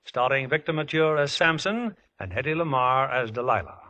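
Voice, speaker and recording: A man's voice: mid-range voice, animated, normal speed, bright, forceful tone. A man hosting a 1950s radio show, with polished scripted presentation.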